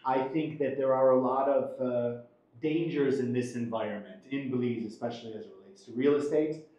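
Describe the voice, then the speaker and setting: A man's voice: medium pitch, fairly even intonation, brisk, dark tone. Middle-aged American man in a very echoey room. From Buffalo, western New York, United States. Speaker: attorney, interview guest. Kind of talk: conversational interview answers.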